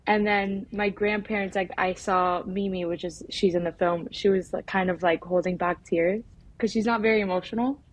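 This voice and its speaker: Young woman, filmmaker interviewee, casual, halting, filler-heavy, conversational, medium pitch. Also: fairly even intonation, rough recording. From United States. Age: young adult. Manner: informal, halting, peppered with filler "like". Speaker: documentary filmmaker being interviewed.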